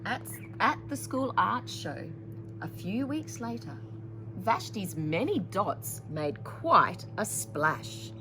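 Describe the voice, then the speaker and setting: A woman's voice: mid-range voice, very animated, slow, background noise. A woman presenting a children's storytime, reading a picture book theatrically with dramatic emphasis and chatty asides to young listeners.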